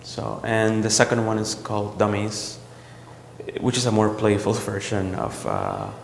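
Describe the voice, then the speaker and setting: A man from El Salvador, a visual artist, talking about his work in a gallery in a reflective, halting way with many ums and restarts. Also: on the low side, sing-song, vocal fry, a little hiss or hum. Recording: roomy gallery echo with long reverb.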